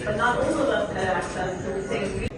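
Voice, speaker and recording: A woman's voice: medium pitch, normal speed. Woman doing standup, dry self-deprecating jokes, echoey hall, crowd murmuring. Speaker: stand-up comedian. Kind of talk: stand-up comedy set. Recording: echoey hall, mic heard from far off.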